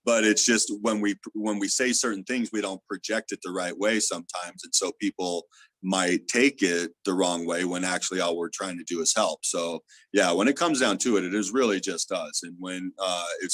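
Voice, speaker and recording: Deep-voiced man, loud and earnest. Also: normal speed, no room sound, poor audio. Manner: earnest, explaining with many fillers. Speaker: theta healer guest. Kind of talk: casual spiritual interview talk.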